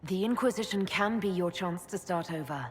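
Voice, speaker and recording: A composed elf mage woman played by an actress leading in a fantasy game. Her lowish voice is measured and assured, merciful in temper, with faint ambient noise behind it. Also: close to an everyday voice. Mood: gentle, reassuring. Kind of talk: dramatic video game acting.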